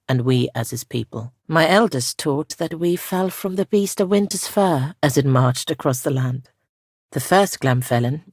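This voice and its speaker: An ancient female guardian spirit of a frozen realm for a fantasy game. Her voice is soft and heavily creaky, with a husky grain. She speaks in solemn, measured, formal phrases, close and dry. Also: low voice, dry recording, exaggerated voice. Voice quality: soft, gravelly. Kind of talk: scripted fantasy game dialogue.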